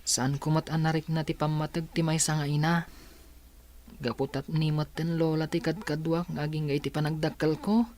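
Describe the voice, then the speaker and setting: A man's voice: fairly high pitch, level tone, moderate pace, darkish tone, slight background noise, lightly acted. A male actor plays a humble helper in a radio drama. His delivery is deferential and solicitous, as one speaking to his madam. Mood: apologetic, sympathetic. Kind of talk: Ilocano radio drama acting.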